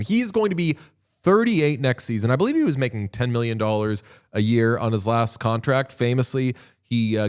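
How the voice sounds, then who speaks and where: A man co-hosting a hockey podcast, chatting casually and joking around. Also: medium pitch. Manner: joking, riffing, does silly voices of players. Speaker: podcast co-host. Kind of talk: casual sports chat.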